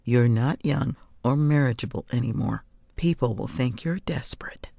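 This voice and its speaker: A stern mother played by a woman reader in an audiobook, scolding and reproachful. Her voice is deep and dark, recorded very dry and close. She speaks with bitter urgency. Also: normal speed, gravelly, no echo, heavily acted. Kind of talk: audiobook character voice.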